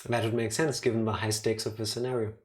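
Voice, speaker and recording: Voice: medium pitch, little pitch movement, fast. A streamer chatting casually while playing a game. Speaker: let's play streamer.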